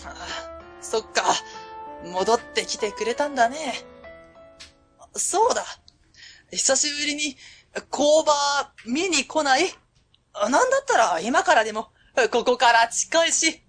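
Woman playing a high, lively, cheerful company boss. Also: a little slow, pauses often, soft background music, heavily acted. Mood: cheerful, welcoming. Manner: friendly, animated, polite. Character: cheerful, welcoming woman running a small factory. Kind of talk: radio drama acting.

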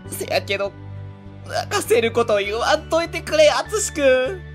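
A woman with a Kansai accent voicing a kindly, soft-hearted middle-aged man who runs a small factory, in an amateur radio drama. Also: sits high, sing-song, moderate pace, faint music behind. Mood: moved. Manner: gentle, humble, easily moved.

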